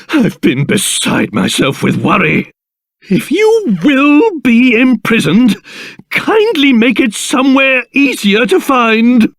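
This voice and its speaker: A man voicing a pompous, fussy, excitable little old king in a video game, speaking theatrically with old-fashioned flair. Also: extremely high pitch, dry recording, clean studio sound, exaggerated voice. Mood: indignant, fussy. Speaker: voice actor.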